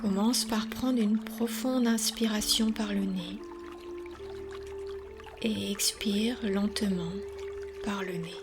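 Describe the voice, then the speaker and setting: A woman leading a guided relaxation as a yoga nidra guide, speaking calmly and soothingly with long pauses between instructions. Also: medium pitch, over music.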